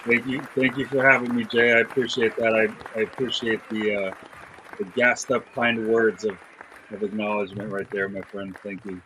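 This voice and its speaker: A man's voice: on the low side, steady tone, moderate pace, pushed and bright, a faint crowd, slight background noise, poor audio. A middle-aged man from Iceland, a guest DJ in a casual interview, talking in a laid-back, modest way with many fillers and restarts.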